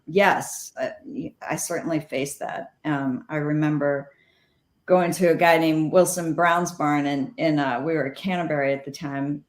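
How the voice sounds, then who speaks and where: Middle-aged woman guest, lively storyteller, echoey room. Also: low-pitched. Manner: animated anecdotes with quoted dialogue. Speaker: guest, former jockey. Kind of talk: storytelling interview.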